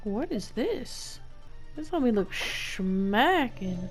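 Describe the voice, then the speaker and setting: A woman's voice: fairly high pitch, big pitch swings, slow, faint music behind. A young woman streamer giving casual, playful gameplay commentary in slangy exclamations. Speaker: game streamer.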